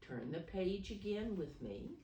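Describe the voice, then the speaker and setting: A woman reading a picture book aloud to small children, pausing to ask simple questions and explain. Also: mid-range voice, sing-song, dark tone, dull audio, a little hiss or hum. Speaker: preschool storybook reader, teacher figure. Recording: roomy echo, distant mic.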